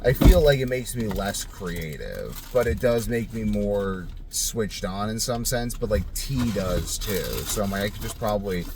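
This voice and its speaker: A man with a low-pitched voice talks casually to his video diary. He rambles and thinks aloud, unscripted, over a faintly noisy home recording. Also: animated, measured pace, few pauses. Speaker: vlogger.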